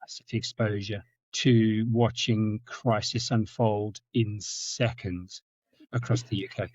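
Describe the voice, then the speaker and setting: A middle-aged man with a Zimbabwean-South African accent, telling stories as a podcast guest, often repeating words and jumping up in pitch for anecdotes. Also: flat delivery, slow, darkish tone, no room sound. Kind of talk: storytelling in conversation. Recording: dull, dry lo-fi sound.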